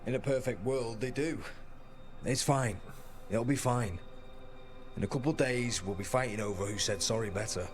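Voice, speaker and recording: An actor playing a guarded, self-deprecating soldier turned clerk in a video game, with a wry, understated delivery. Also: male voice, mid-range voice, moderate pace, pauses often, faint music behind, lightly acted. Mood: reassuring.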